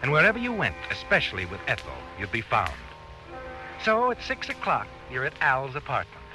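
An actor playing a knowing, ominous narrator in an old radio suspense drama, dramatic and insinuating in second person. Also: male voice, medium pitch, huge pitch swings, brisk, over music, poor audio. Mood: tense, insinuating. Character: knowing, ominous narrator addressing the guilty man as you.

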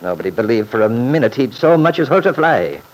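An actor playing a proud, stubborn small-town lawyer in an old-time radio soap, blustering and indignant. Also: male voice, medium pitch, sing-song, a little fast, low-quality recording. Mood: indignant boastful.

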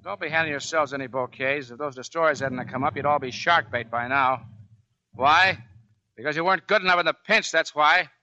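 Tough navy chief, sharp gruff voice, sarcastic, old 40s radio show, muffled sound. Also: male voice, fairly high pitch, a little fast. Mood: scornful scolding. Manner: gruff, barking, sardonic. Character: tough, sardonic Navy chief, protective brother. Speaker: radio drama actor. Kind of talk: 1940s radio drama acting. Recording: muffled 1940s radio recording.

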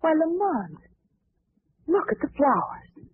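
A curious young companion. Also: female voice, high-pitched, slow, no echo, heavily acted. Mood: inquisitive. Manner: inquisitive and lively. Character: curious young woman companion, bright and inquisitive. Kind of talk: 1940s radio acting. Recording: tinny old radio broadcast, dry.

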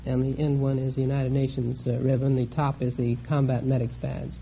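A man's voice: medium pitch, little pitch movement, a little fast, gravelly, warm tone. An American man in his twenties from Oregon, a quiz contestant answering questions earnestly and plainly. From Oregon, United States. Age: 20s. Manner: earnest, straightforward. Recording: muffled old radio broadcast, faint noise.

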